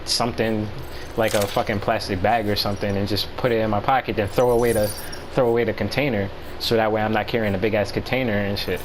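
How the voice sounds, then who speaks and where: An American man chatting casually as he tells a story, with slangy, profane and halting delivery. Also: on the low side, fairly even intonation, background noise. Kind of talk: casual storytelling chat among friends.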